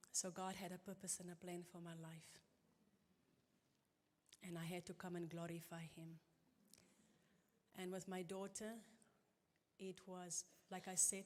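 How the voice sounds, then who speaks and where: A middle-aged woman speaks from the stage in a slightly low voice, telling her story with earnest, halting pauses. Her words ring out with the long reverb of a large church hall. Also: darkish tone. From South Africa. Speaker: conference guest speaker. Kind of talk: personal church testimony. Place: church auditorium.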